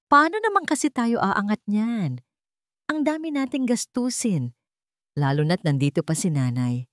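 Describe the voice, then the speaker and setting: A woman's voice: medium pitch, sing-song, normal speed, dry recording, studio quality, voice pushed up, natural voice. A woman voicing a scornful, complaining daughter-in-law with a cutting tongue, in an audio story.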